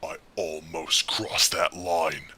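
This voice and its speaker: An actor playing a brooding, world-weary masked vigilante in an audio drama, with a raspy growl and a slow, grave delivery. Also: male voice, rumbling low, animated, pushed and bright, heavily acted. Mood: bitter, anguished.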